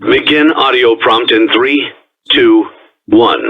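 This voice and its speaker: An actor playing a calm, ominous businessman in a video game, reading formal, measured instructions through an old tape filter. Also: mid-range voice, very noisy. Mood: flat, procedural. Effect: old cassette tape, radio-like filter. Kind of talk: scripted instructional tape narration. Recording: tinny, phone-like old tape recording.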